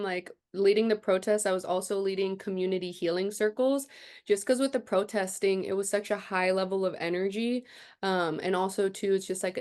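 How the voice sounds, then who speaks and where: A woman's voice: medium pitch, darkish tone. A young adult woman, a chiropractor, talking reflectively in a casual interview, often using fillers. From United States, raised partly in Guatemala. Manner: reflective, many fillers like "also too". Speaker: chiropractor guest.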